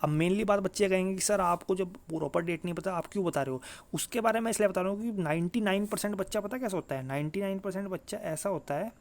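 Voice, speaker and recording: A man's voice: high-pitched, quick pace, gravelly, few pauses. A man giving informal, emphatic exam guidance to students, repeating his points, adding check-in tags and mixing in English words. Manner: mixes English words into speech. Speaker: exam coaching YouTuber.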